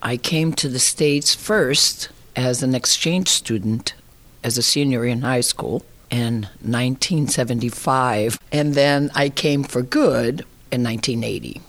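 A woman's voice: a bass voice, measured pace. Woman, sixties, Brazilian accent, native Portuguese speaker, reflective interview storytelling, conversational. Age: 60s. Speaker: interviewee, immigrant.

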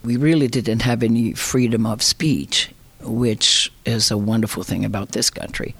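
A woman's voice: very deep voice, fairly even intonation, moderate pace, breathy edge, no echo. Woman, 60s, Brazilian accent. Conversational interview delivery, reflective, recounting personal experience. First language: Portuguese. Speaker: interviewee, immigrant.

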